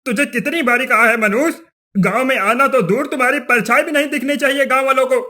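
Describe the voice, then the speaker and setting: A man's voice: very high-pitched, fairly quick, pushed and bright, full character voice. A man voicing a cruel, superstitious village headman in a cartoon story, scolding with contempt. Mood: disgusted, scornful. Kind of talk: Hindi cartoon moral story dialogue.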